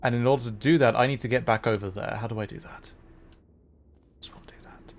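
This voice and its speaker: A man's voice: medium pitch, fairly even intonation, quick pace. A man streaming a game with casual commentary, talking to himself as he works things out. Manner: muttering to himself while working through puzzles. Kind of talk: casual livestream gameplay commentary, thinking aloud.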